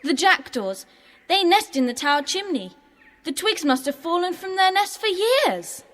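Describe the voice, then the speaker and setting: A woman playing an excitable, outspoken young schoolgirl who alarms easily, in a children's audio drama. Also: very high voice, normal speed, full character voice. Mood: alert.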